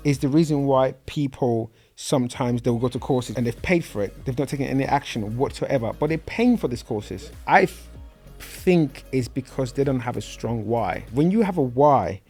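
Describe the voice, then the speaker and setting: A man's voice: medium pitch, expressive, normal speed, a little mellow, husky, soft background music. A man hosting a casual property podcast interview in a studio, friendly and bantering, often repeating words as he speaks. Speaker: podcast host.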